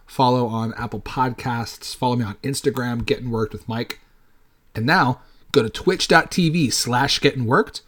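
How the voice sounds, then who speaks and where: A man's voice: medium pitch, normal speed, a bit bright. A man in his late thirties hosting a podcast solo, talking casually in a rambling way and swearing freely. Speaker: podcast host.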